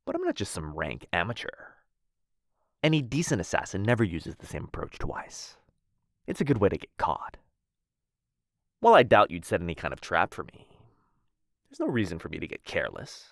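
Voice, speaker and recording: A man plays a dangerous assassin in love, in an intimate audio roleplay. His voice is very low, with a widely swinging melody. He teases in courtly, old-fashioned phrases, recorded close and dry. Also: wildly swooping pitch, moderate pace, gravelly, long pauses, no room sound, exaggerated voice. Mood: smug, teasing. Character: smooth, menacing yet lovestruck male assassin. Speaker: voice actor. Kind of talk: boyfriend ASMR roleplay.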